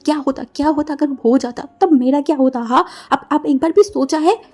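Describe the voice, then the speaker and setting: A jealous, possessive man in a Hindi audio story, scolding his partner with one pointed question after another. A woman reads him in a high, mellow voice, with faint music behind. He keeps demanding answers without pausing. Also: close to an everyday voice. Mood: angry scolding.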